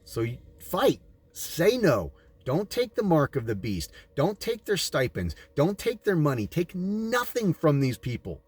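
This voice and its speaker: A man's voice: on the high side, huge pitch swings, a little slow, darkish tone, breathy edge, dry recording. An American man reading aloud and adding his own commentary in an emphatic, preachy manner, like a sermon. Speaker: audiobook reader and commentator.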